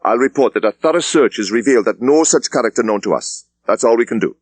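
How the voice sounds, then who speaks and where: A man's voice: mid-range voice, animated, quick pace, no room sound, voice pushed up, lightly acted. A man voicing a firm, businesslike British police superintendent with clipped speech in an audiobook. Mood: firm, brisk.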